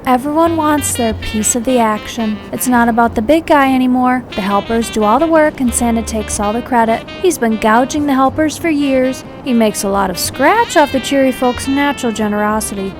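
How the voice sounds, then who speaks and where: A woman's voice: on the high side, moderate pace, few pauses, natural voice, low-quality recording. A woman acting a tough, flirtatious, world-weary streetwalking madam in a noir radio drama, with wry, slangy banter. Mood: weary griping. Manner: slangy, sardonic, hard-boiled banter. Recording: music behind the dialogue.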